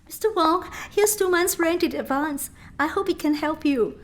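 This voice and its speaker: An actress playing a meek, earnest young seamstress in a radio play, polite and pleading. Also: female voice, very high voice, normal speed, noisy background.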